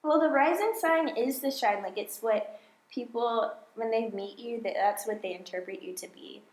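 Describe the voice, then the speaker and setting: Young woman guest, higher voice, animated explainer, roomy echoey sound. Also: normal speed. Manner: animated, explains with many likes. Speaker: astrology guest. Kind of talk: casual explaining. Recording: echoey room.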